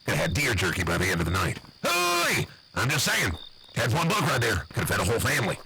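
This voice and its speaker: A man's voice: fairly low pitch, quick pace, slight background noise, exaggerated voice, poor audio. A man voicing a blustery, nervous backwoods uncle with an American accent, rambling and swearing in an improvised comic monologue. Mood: excited. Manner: rambling, folksy, profane, excitable.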